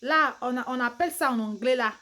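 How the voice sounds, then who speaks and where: A woman's voice: high-pitched, a little fast, pushed and bright. A woman vlogger, a native English speaker, giving casual advice in broken French with an English-speaking accent, chatty and laughing easily. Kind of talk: casual advice vlog to camera.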